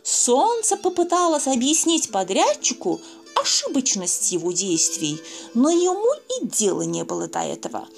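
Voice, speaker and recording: A woman reading a children's fairy tale aloud, expressive and speaking fondly to young listeners. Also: sits high, moderate pace, gravelly, faint music behind. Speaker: storyteller.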